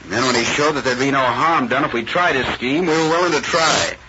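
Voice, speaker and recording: An actor playing a plain-spoken Western ranch hand in an old radio drama, with deliberate, folksy cowboy phrasing. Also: male voice, medium pitch, lightly acted. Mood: steady, triumphant. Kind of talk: 1930s-40s radio western acting. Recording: noisy dull old radio broadcast recording.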